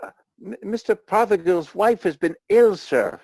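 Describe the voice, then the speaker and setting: A man playing a meek, kindly clerk in a radio play, hesitant and deferential, with a creaky voice. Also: sits high, measured pace, a little mellow, dry recording, exaggerated voice. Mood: meek, nervous. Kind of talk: theatrical radio play acting.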